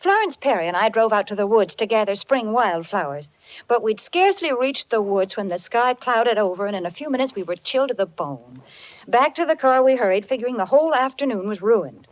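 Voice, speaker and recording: An American woman pitches like a cheery 1940s radio announcer. Her voice is high and very animated, in a dry but muffled old recording. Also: fairly quick, dry recording. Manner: cheery, gushing. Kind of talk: scripted 1940s radio advertising.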